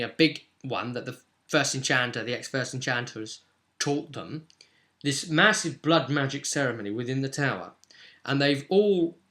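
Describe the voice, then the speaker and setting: A man's voice: mid-range voice, sharp tone. A man speaking as a game master, casually recapping and explaining a tabletop roleplay session in a conversational way.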